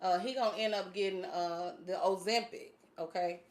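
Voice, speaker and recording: A woman's voice: on the low side, fairly even intonation, moderate pace. A woman in her late forties chats through a casual livestream. She talks loosely, with plenty of fillers and easy laughter, and her grammar stays informal. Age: 40s. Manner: chatty, laughs often, many fillers. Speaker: fitness channel host.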